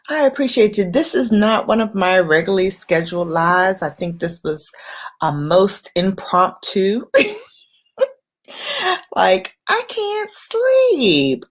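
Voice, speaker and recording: A woman hosting an impromptu late-night livestream, speaking in an unhurried, reflective way in short, paused phrases. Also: medium pitch. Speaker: livestream host.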